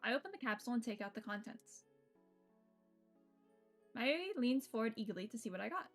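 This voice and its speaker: A woman's voice: a little high, sing-song, brisk, faint music behind. A woman streaming a game, reading its text aloud and adding casual, playful, sweary commentary.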